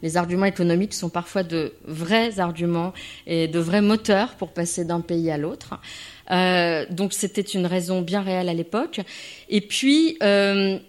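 A woman from France shares a personal testimony at a gathering. She speaks in a reflective, hesitant way, with frequent pauses and restarts as she searches for her words. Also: lowish voice.

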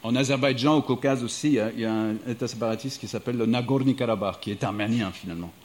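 A man's voice: medium pitch, racing. A middle-aged man from the United States, a diplomat and writer, speaking thoughtfully to a live audience in an evening talk. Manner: reflective, thinking aloud.

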